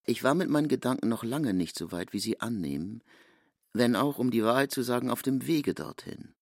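A man playing a composed, wry newcomer in a literary radio reading, speaking in a measured, formal way. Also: mid-range voice, lively intonation, darkish tone, no echo, studio quality. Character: wry, composed male newcomer, narrated in third person. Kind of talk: literary narration and dialogue reading.

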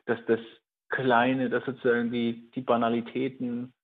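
A man's voice: mid-range voice, completely flat delivery, normal speed. A man being interviewed as a writer, reflecting on his own work in a tentative, searching way and often correcting himself. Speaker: writer and radio play director being interviewed. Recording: tinny phone-like line.

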